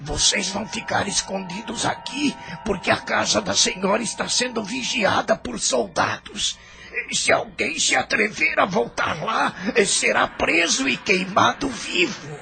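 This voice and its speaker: A man's voice: high-pitched, a little slow, creaky, music in the background, exaggerated voice. A man with a Brazilian Portuguese accent voicing a kind, solemn old man who hides a family from soldiers in an audio drama. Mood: grave. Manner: solemn, sympathetic. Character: kindly old man sheltering a family, grave.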